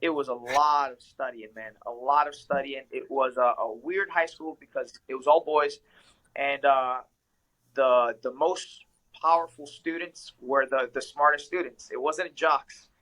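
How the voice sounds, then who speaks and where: A man's voice: mid-range voice, fairly even intonation, measured pace, pushed and bright, low-quality recording. A young man from Ecuador, a professional fighter, answering interview questions in an earnest, reflective way with frequent fillers. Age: young adult. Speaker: guest, professional mixed martial arts fighter.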